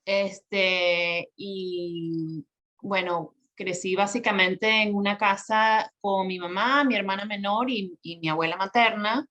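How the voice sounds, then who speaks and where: A woman's voice: medium pitch, steady tone, takes it slowly, fairly bright tone, dull audio. A woman from Venezuela with a Venezuelan accent, a podcast guest telling her life story in a chatty way, laughing often and using many fillers. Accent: Venezuelan Spanish.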